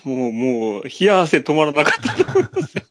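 A man co-hosting a podcast, chatting casually about films in polite but relaxed speech, often chuckling as he talks. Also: fairly high pitch, a little fast, no room sound, clean studio sound. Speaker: podcast co-host. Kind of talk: casual film chat.

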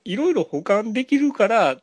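A man's voice: sits high, sing-song, normal speed, husky, dry recording. A man co-hosting a podcast in casual film chat, agreeable and conversational, often prompting his partner. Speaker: podcast co-host.

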